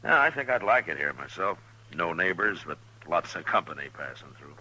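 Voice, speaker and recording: An actor playing a steady, watchful marshal in an old-time radio western, speaking in a measured, probing, laconic way. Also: male voice, low voice, husky, heavily acted, low-quality recording. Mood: probing, casual. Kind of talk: old-time radio western drama, partly first-person narration. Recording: old radio recording with music.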